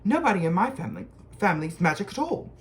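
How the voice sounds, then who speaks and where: A reader voicing a bossy, fast-talking schoolgirl know-it-all in a storybook reading, pitching her high and rushing through her words. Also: huge pitch swings. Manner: rapid, eager, pitched high.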